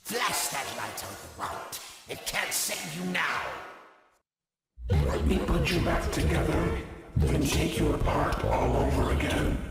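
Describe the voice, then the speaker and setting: Super gravelly killer robot monster, gloating horror game villain, wild pitch swings, loud music under it. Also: male voice, huge pitch swings, measured pace, pauses often, heavily acted. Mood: gloating menace. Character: menacing male animatronic monster, slow, gloating, hungry to kill.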